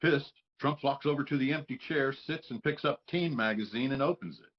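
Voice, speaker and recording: A man's voice: medium pitch, fairly quick. Man narrating stage directions, slightly muffled. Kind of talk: scripted narration for a table read. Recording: dull lo-fi audio.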